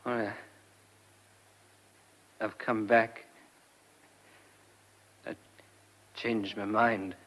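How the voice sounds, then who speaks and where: An actor in a radio drama playing a weary, earnest gentleman in love, speaking haltingly in a husky voice. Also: fairly low pitch, little pitch movement, poor audio. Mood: exhausted, resolute.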